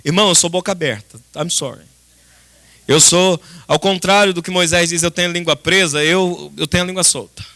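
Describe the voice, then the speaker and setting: A Brazilian man preaches an impassioned sermon to his congregation. His voice sits extremely high for a man and is very bright and tense. He speaks emphatically and dramatically, repeating phrases and addressing his listeners directly. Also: steady tone, fairly quick. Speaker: pastor preaching. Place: church service.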